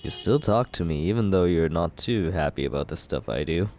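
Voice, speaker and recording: A man's voice: low voice, expressive, fairly quick, a little mellow, a little hiss or hum. A young man playing a cocky male villain who courts the listener in an ASMR roleplay, teasing and laid-back as he justifies himself.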